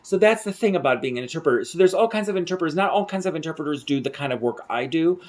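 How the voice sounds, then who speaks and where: A man's voice: fairly high pitch, animated, racing, darkish tone. A middle-aged man from central rural Mexico, an interpreter telling stories to the camera in a rambling way, full of fillers and restarts, recorded close up in a small room at night. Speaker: interpreter and vlogger. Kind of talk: casual storytelling to camera.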